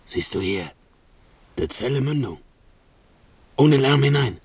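An actor voicing a servile, scheming island monster in a classical radio play, husky, fawning and urgent, with diction. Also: male voice, medium pitch, lively intonation, takes it slowly, no echo, full character voice. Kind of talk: classical German radio drama acting.

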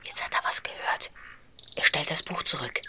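A woman's voice: normal speed, whispered, natural voice. A woman playing a watchful young boy detective in an audio drama. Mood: hushed, tense.

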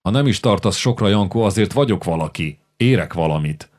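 A man's voice: deep voice, lively intonation, a little mellow. A man voicing a fiery, desperate middle-aged warlord who pleads and then defies. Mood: defiant.

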